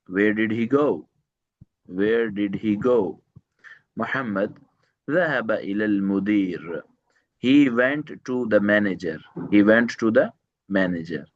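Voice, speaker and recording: A man teaching Arabic one to one, repeating each phrase slowly, translating word by word and quizzing his student with short questions. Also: fairly low pitch, fairly bright tone, highs cut off. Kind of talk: one-to-one language lesson.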